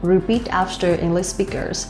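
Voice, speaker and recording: Vietnamese-accented woman, low-pitched, roomy sound. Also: fairly even intonation, normal speed, a little mellow. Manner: loose, repetitive, many "like" fillers. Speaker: host and life coach. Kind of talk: casual speaking tips to camera. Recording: roomy with faint music.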